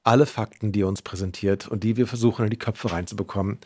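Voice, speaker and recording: A man co-hosting a casual podcast, talking in a playful, animated way. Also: fairly low pitch, fast talker, slightly rough, no echo, studio quality. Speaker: podcast co-host. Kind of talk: casual chat.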